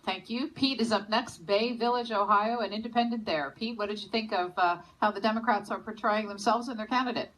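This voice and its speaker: A woman's voice: mid-range voice, steady tone, brisk, few pauses, fairly bright tone, low-quality recording. Woman hosting a call-in, faint noise behind. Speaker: TV call-in host. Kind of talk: live call-in moderating.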